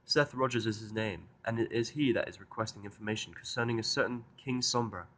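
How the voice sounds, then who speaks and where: A man's voice: on the low side, brisk, fairly bright tone. A man voicing a studious, easily flustered young female unicorn pony who speaks in formal, pedantic phrases for a fan fiction audio drama. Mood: formal, dictating.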